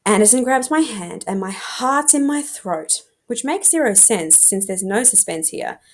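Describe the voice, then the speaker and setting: A woman reading a young adult novel aloud like a teacher, in an informal, expressive storytelling manner. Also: medium pitch, unhurried.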